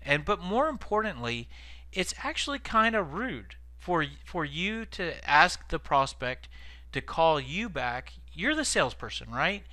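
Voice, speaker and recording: A man's voice: a little high, sing-song, a little slow, sharp tone, dry recording. A man giving informal instructional tips as a sales trainer, explaining conversationally and stressing key words.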